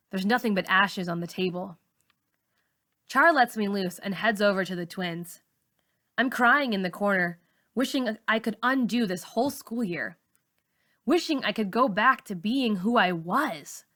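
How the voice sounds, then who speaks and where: Bright-voiced woman narrating a story. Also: mid-range voice. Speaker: teacher reading a novel aloud. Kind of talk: expressive first-person story narration.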